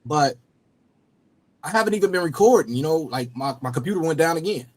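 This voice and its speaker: A man's voice: fairly high pitch, lively intonation, brisk. A man, hosting a loose, rambling casual chat and often repeating himself. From Cincinnati area. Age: 40s. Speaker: rapper and host.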